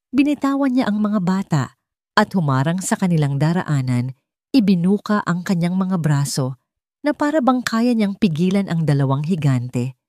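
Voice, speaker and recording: A woman's voice: lowish voice, darkish tone, no room sound, studio quality. A woman narrating a scripted dramatic story as an audiobook reader.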